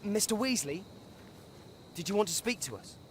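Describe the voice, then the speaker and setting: A man's voice: sits high, lively intonation, moderate pace, slight background noise. A young man playing an earnest, determined teenage boy wizard with an English accent in a video game. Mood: questioning. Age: young adult.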